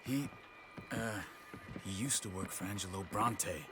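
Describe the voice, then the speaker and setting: An actor playing a cautious, watchful former outlaw in a video game, measured and serious. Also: male voice, low-pitched, background noise. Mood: wary. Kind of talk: dramatic video game dialogue.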